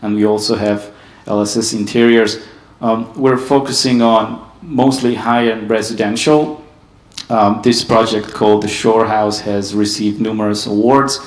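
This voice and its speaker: Guy from hungary giving a panel talk, low monotone, lots of ums, echoey room. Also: measured pace. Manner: steady, hesitant with many ums. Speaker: panel speaker. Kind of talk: conference presentation.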